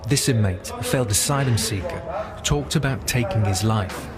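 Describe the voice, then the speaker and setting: A man's voice: lowish voice, over loud music. Man narrating a documentary. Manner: measured, matter-of-fact. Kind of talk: scripted narration.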